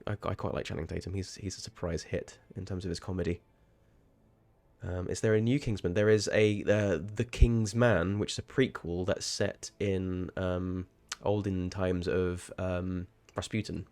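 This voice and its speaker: A man's voice: low-pitched, fairly even intonation, moderate pace, a little mellow. A man chatting casually while streaming a game, rambling and sweary, with sudden startled outbursts. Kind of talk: casual livestream chat.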